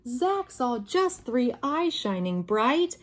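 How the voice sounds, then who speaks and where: High-pitched woman reading slowly to young children. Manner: expressive, sing-song, addressing young children with questions. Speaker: children's story reader, teacher. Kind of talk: read-aloud for young children.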